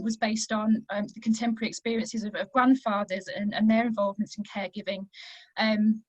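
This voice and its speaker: Croaky lady giving a zoom talk, kinda high voice, lots of ums, dry close sound. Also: dry recording, poor audio. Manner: conversational lecturing with frequent "um" fillers. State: hoarse, croaky voice. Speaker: invited academic speaker. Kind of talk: conference presentation over video call. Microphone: video call.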